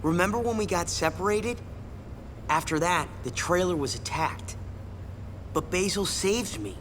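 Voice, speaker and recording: An actor playing an excitable, friendly young male survivor in a video game cutscene. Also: sits high, measured pace, background noise. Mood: earnest, explaining. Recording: music clearly under the voice.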